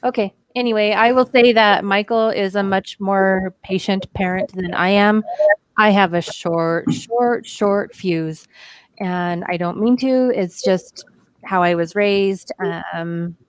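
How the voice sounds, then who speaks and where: A woman co-hosting a casual chat, brisk and outspoken. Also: on the low side, steady tone, clean tone, dry recording. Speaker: co-host.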